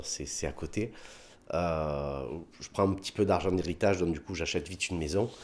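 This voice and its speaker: A man with a southern French accent, a comedian telling anecdotes in a casual interview, acting out bits of dialogue. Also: deep voice. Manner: animated anecdotes with put-on dialogue, many fillers.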